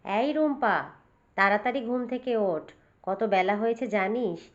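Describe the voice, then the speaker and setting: A woman doing a brisk, nagging village mother. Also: on the high side, natural voice. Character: poor, scolding but loving village mother. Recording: dull, lo-fi recording.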